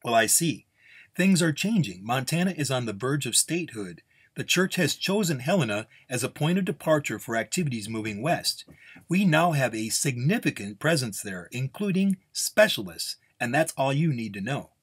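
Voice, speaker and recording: A man voicing a defiant, sharp-tongued nun in a Western story, blunt, curt and commanding. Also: medium pitch, very animated, normal speed, husky, no room sound, voice pushed up. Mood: firm, guarded.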